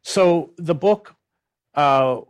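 An older man speaking as a journalist in an interview, recounting his work in a grave, deliberate, halting way. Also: a little high, smooth.

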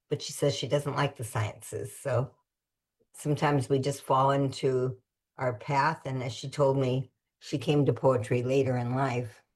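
A woman hosting a community radio interview, relaxed and conversational, sometimes searching for words. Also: extremely low pitch, husky. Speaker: radio host.

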